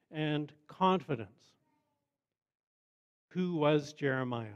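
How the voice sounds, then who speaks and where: A man preaching a sermon in a measured, conversational way with wry asides. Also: a little high. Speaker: pastor.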